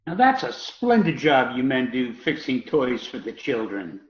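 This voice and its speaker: A man's voice: mid-range voice, wildly swooping pitch. A man plays a prim and proper clergyman in a radio comedy, stiffly polite and earnest. His intonation rises and falls widely in an echoey, slightly dull recording. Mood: polite, sympathetic. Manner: stiff, formal, measured phrasing. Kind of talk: radio comedy theatre acting.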